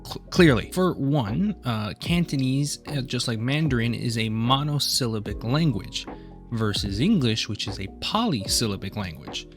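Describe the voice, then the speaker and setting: A young man narrating a class project presentation in a casual way, with self-deprecating asides. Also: mid-range voice. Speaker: student presenter. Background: faint guitar music.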